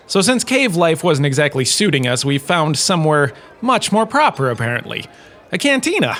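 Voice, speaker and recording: An actor playing a vain, self-important professor and holodrama star, giving a wry, chatty comic lecture. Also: male voice, on the high side, big pitch swings, normal speed, fairly bright tone.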